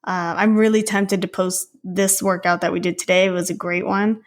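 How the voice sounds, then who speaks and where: A woman's voice: fairly low pitch, level tone, normal speed. A woman co-hosting a podcast in casual chat, with an informal, playful, slangy way of talking. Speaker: podcast co-host.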